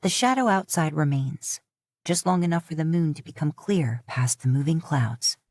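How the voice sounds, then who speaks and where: A woman narrating a fan fiction audiobook in a calm, even storytelling manner. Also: low-pitched, normal speed, dry recording, studio quality. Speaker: podfic narrator.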